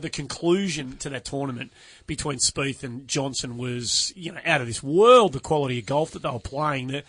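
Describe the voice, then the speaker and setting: A man with an Australian accent hosting a golf podcast, talking in an animated, emphatic way and running quickly through rankings and results. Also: medium pitch, no room sound. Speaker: podcast host. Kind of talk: casual sports chat.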